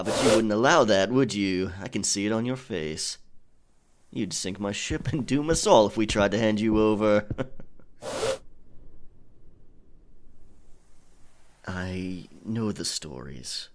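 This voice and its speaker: A man playing a roguish, gallant pirate captain in an ASMR audio roleplay, with a husky, breathy voice and dramatic, unhurried delivery. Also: on the low side. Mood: knowing, tender. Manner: slow, dramatic, hushed at times.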